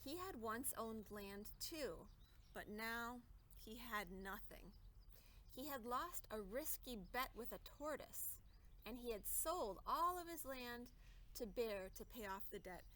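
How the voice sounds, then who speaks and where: A woman's voice: a little high, animated, takes it slowly, bright, forceful tone, slight background noise. A woman reading a children's story aloud expressively, adding explanatory asides and questions for young listeners. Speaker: storybook reader.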